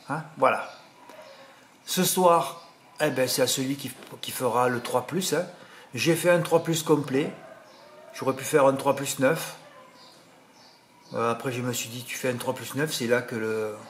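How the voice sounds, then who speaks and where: Regional French man, mid-pitched, indignant rambling tipster, faint hiss in the background. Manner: indignant, rambling, full of "hein" and "voilà". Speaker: horse racing tipster. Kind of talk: casual monologue to subscribers.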